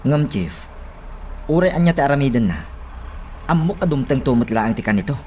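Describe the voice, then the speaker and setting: A man's voice: medium pitch, lively intonation, a little fast, warm tone, a little hiss or hum, lightly acted. A man acting a worried subordinate questioning his superior in an Ilocano radio drama. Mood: worried, urgent.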